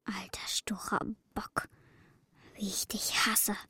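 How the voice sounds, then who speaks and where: A woman's voice: mid-range voice, little pitch movement, takes it slowly, pushed and bright, husky, no room sound, whispered, studio quality, exaggerated voice. An actress playing a spirited, defiant young city girl in a children's radio drama, earnest and headstrong. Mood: bitter whisper.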